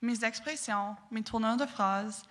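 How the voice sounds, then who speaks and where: A woman's voice: on the high side, a little slow, a bit bright. A young woman from southwestern Ontario with a southwestern Ontario French accent, giving an earnest prepared talk on stage as a student speaker. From southwestern Ontario, Canada. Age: young adult. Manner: earnest, declamatory with occasional restarts. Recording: long hall reverb.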